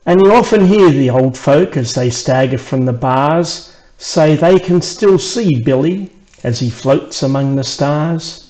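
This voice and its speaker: A man with an Australian accent narrating a rhymed children's story in an expressive storyteller's way. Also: a little high, measured pace, rough recording. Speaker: author reading own children's book.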